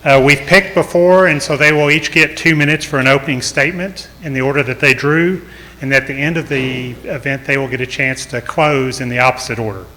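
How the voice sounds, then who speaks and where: A man's voice: medium pitch, fairly even intonation, a little fast, bright, forceful tone, rough recording. A man with a Texas accent moderating a mayoral candidate forum. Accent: Texan. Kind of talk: moderating a public debate.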